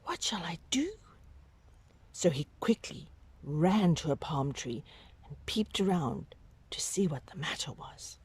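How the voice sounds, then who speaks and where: Slow, expressive woman reading a children's story. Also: low-pitched, slightly rough. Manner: dramatic, drawn-out emphasis with whispery hushes. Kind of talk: expressive children's picture-book read-aloud.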